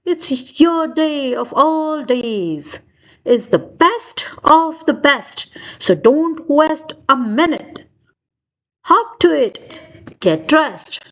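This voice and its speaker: Jolly, bossy storybook bird, played by a woman: high-pitched, swooping, excitable, full of urging commands. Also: drawn-out words, exaggerated voice. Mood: excited urging. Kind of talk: children's storybook read-aloud.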